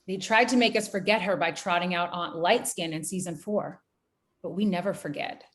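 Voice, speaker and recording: A woman acting a bold, sharp, streetwise investigator in a screenplay table read, with punchy colloquial delivery. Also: lowish voice, normal speed, close to an everyday voice. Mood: indignant.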